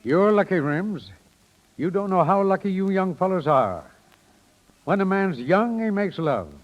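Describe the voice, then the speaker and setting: Wistful older man, lively intonation. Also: sits high, unhurried, lo-fi sound. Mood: wistful, reflective. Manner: gentle, philosophical, reflective. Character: wistful, fatherly older man advising his son-in-law. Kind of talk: 1930s radio acting. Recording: 1930s radio broadcast.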